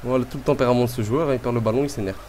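A man with a cold commentating his own football video game match in a casual, excitable way, calling out to the players and the referee. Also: mid-range voice, rapid-fire, a little hiss or hum. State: has a cold.

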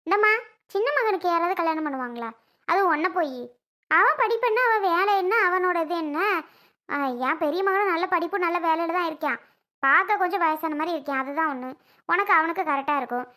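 A woman's voice: very high voice, pushed and bright, heavily acted. A woman with a southern Tamil Nadu accent playing a blunt, bossy older mother of the groom, scolding in a village family drama. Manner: scolding, rapid-fire rhetorical questions.

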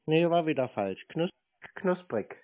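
A man plays the customer in a casual phone conversation. He comes across as naive and a bit slow on the uptake, and the sound is thin and phone-like. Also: fairly high pitch, animated, background noise. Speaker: prank caller posing as customer.

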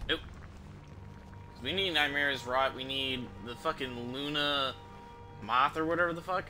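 A man in his thirties giving casual, sweary, rambling and halting commentary as he streams a game. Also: mid-range voice, sharp tone, low-quality recording. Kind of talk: casual livestream gameplay commentary. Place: small room at home, indoors. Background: game music.

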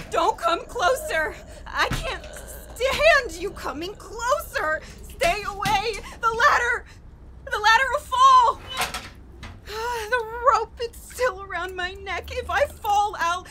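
An actress playing a desperate, guilt-ridden woman in a horror radio play, halting and repeating herself as she pleads through tears. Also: very high voice, heavy background noise. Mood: terrified. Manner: halting, repetitive, sobbing, pleading. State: crying, panicked.

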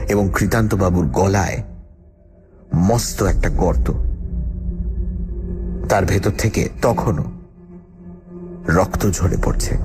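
A man's voice: low voice, exaggerated voice. A man playing a frightened first-person narrator in a dramatic horror story, telling of his haunted-house ordeal. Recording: loud eerie music and effects behind.

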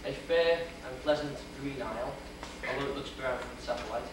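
A man's voice: mid-range voice, brisk. A young man from Wales with a Welsh accent, giving a theatrical, joking history lecture to an audience. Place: lecture hall with projection screen. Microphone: far camera. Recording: echoey hall, distant camera, noisy old video.

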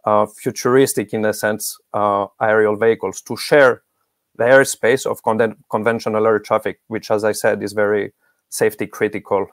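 A man's voice: on the low side, level tone, moderate pace, no echo, studio quality. A middle-aged man giving explanatory interview answers as a guest expert on a video call. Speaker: guest expert, academic researcher.

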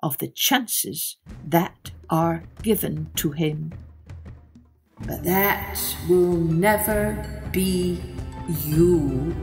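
A woman's voice: fairly low pitch, vocal fry, darkish tone, faint music behind, full character voice. Woman voicing a stern old holy man. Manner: measured, stern.

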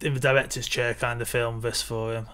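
A man's voice: mid-range voice, flat delivery, fairly quick, pushed and bright, smooth. A man in his thirties co-hosting a podcast on a video call, chipping in with short remarks about a film. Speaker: podcast co-host. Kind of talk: casual film discussion. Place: small room at home. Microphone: headset on a video call.

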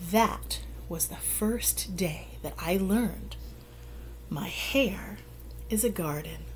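Lady doing a kids storybook read-aloud, takes her time, hushed bits, faint room noise. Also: lowish voice. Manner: measured, dramatic pauses, hushed breathy emphasis. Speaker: storyteller reading a picture book aloud. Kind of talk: expressive read-aloud for children. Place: indoor room at home.

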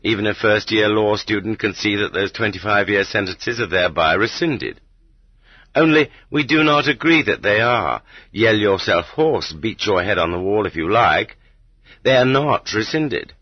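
Male audiobook reader, husky, dry studio sound slightly muffled, measured and dramatic emphasis. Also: lowish voice, expressive, no room sound. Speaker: audiobook narrator. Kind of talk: scripted audiobook narration.

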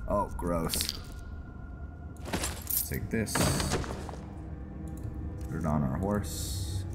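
Man, deep voice, slow halting pace, casual game commentary, roleplay playthrough. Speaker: video game streamer, host. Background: music.

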